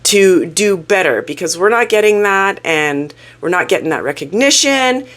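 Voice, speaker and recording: A woman hosting her own channel, sounding croaky from a cold as she talks through a topic in a casual, earnest, self-reflective way. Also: fairly low pitch, lively intonation, moderate pace, a bit bright. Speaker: YouTube channel host. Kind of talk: casual talking-head explainer.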